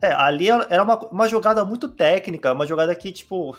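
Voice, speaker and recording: Guy on a podcast, slightly high voice, calm and chatty, lots of ums. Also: quick pace. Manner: calm, explanatory, many fillers. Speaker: card game player guest. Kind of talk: casual podcast chat.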